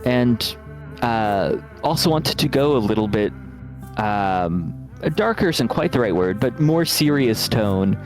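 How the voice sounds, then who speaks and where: A man speaking as a game developer in a casual interview, explaining things in a thoughtful, measured way. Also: fairly low pitch, expressive. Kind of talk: casual interview answers over a game stream. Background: guitar music.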